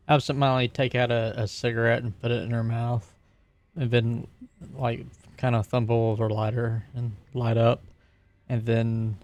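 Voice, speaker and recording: A man's voice: mid-range voice, darkish tone, dull audio. A man playing a tabletop roleplaying game, casually narrating a character's actions while thinking aloud in a hesitant way.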